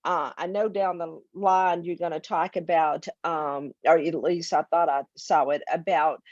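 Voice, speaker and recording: A woman's voice: sits low, fairly even intonation, unhurried, dry recording. A woman co-hosting a talk show, speaking earnestly and often repeating words as she thinks. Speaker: co-host. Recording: dull dry sound.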